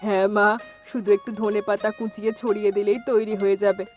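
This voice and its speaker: A woman's voice: mid-range voice, little pitch movement, a little fast, soft background music, natural voice. A woman voicing a kindly, encouraging old village grandmother in a cartoon story. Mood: content, affectionate. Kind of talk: cartoon story dialogue in Bengali.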